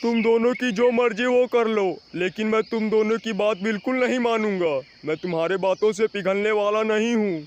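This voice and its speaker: A man voicing a stern, exasperated father crow in an animated moral story, scolding and insistent. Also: high voice, moderate pace, few pauses, muffled recording, slight background noise, natural voice. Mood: firm.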